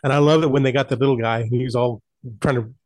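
A man's voice: mid-range voice, steady tone, quick pace, darkish tone, no room sound. A man chatting casually as the presenter of a group evening about old radio shows. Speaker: presenter of an online old-time radio night.

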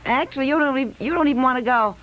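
An actress playing an amused, easygoing wife with a wry, playful manner in a radio comedy-drama. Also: female voice, high-pitched, rapid-fire, close to an everyday voice. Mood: wry, teasing. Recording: muffled, faintly noisy radio recording.

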